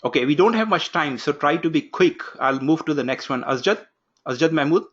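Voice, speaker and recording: A man opening an online course from his home office, giving instructions briskly and calling on participants one by one. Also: a little high, fairly bright tone, breathy edge, muffled recording. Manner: brisk, instructive, takes roll call. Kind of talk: live online class introduction. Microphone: video call.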